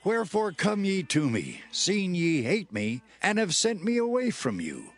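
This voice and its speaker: A man's voice: high-pitched, huge pitch swings, unhurried, heavily acted. A man playing an earnest, wary patriarch in a dramatized scripture reading, speaking with emphasis. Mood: reproachful.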